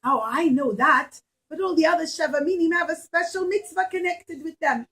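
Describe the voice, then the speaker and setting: A woman's voice: very high-pitched, normal speed, a bit bright. A woman voicing a young granddaughter in a puppet show, eager and full of curious questions. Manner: inquisitive, childlike questioning.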